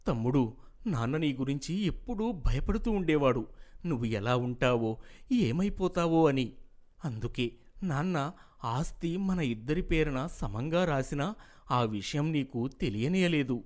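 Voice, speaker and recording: A man playing a responsible, affectionate elder brother who reasons and advises in an animated moral story. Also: medium pitch, unhurried, close to an everyday voice. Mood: fond, advising.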